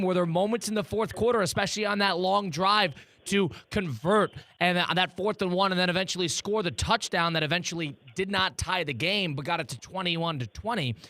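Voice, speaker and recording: A man's voice: high voice, pushed and bright. A man working as a sports radio interviewer, conversational and eager, asking long, wordy questions.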